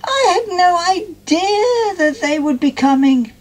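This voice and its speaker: A man voicing an excitable, tearful young female piggy bank in a children's storybook. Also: very high-pitched, takes it slowly, voice pushed up, full character voice. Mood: awed excitement. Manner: gasping, squealing, easily dismayed.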